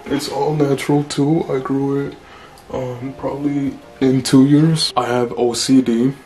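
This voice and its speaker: A man in his twenties with a deep voice, chatting casually through a Q&A vlog in a small room, blunt and relaxed. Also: measured pace, faint music behind. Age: 20s. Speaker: vlogger.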